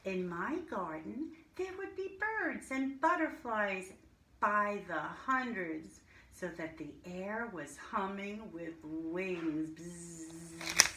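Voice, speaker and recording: A woman teacher reading and explaining to young children in simple, deliberate language with questions. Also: lowish voice, expressive, pushed and bright. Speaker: early-grade teacher reading a picture book aloud to children. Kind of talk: storybook read-aloud and children's lesson. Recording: roomy echo, clear background noise.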